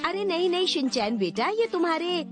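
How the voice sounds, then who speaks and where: A woman's voice: extremely high pitch, sing-song, normal speed. A woman voicing a fussy young mother in a cartoon dub, scolding and exclaiming. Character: fussy young mother, bossy and easily flattered. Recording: cartoon soundtrack with music.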